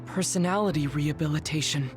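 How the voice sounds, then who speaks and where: A woman's voice: sits low, quick pace, music in the background, close to an everyday voice. An actress playing a composed, analytical young female soldier in a video game, speaking in a terse, level-headed way. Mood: cool, serious.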